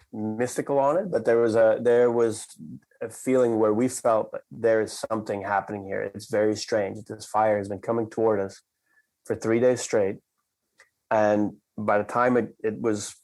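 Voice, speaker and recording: A man from the Netherlands, a company cofounder, telling a story in a measured, reflective way and slowing down for emphasis. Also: fairly low pitch, darkish tone, rough recording. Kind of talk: conversational storytelling.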